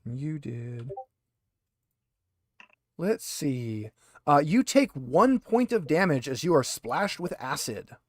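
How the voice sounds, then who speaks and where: A man's voice: fairly high pitch, very animated, takes it slowly, pauses often, no echo, clean studio sound. A man acting as game master, chatting casually and explaining the rules step by step. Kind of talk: casual tabletop game chat.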